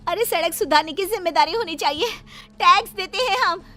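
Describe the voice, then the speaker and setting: A woman voicing a brash, outspoken young daughter-in-law in an animated moral story, indignant and assertive. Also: very high voice, a little fast, faint music behind, pitched above the performer's own voice.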